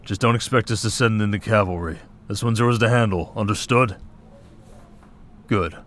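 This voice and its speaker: A man's voice: fairly low pitch, normal speed, a bit bright, slight background noise, lightly acted. A man voicing a stern commander of monster hunters, clipped and authoritative, briefing a recruit in a scripted audio roleplay. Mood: stern, businesslike. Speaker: voice actor.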